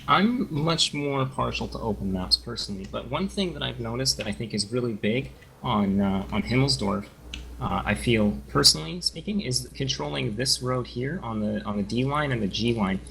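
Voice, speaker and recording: A man's voice: mid-range voice, expressive, moderate pace, creaky, fairly bright tone, slight background noise, rough recording. A man from Canada co-casting a game match, explaining in an easygoing way with many fillers. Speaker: co-caster. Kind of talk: casual game commentary.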